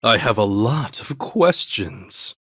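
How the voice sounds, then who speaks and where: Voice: medium pitch, animated, slow pace, a bit bright, husky, no echo, clean studio sound, heavily acted. An actor voicing a sly, courteous interrogator with a theatrical, probing manner in a video game cutscene. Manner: mock-polite, probing, theatrical.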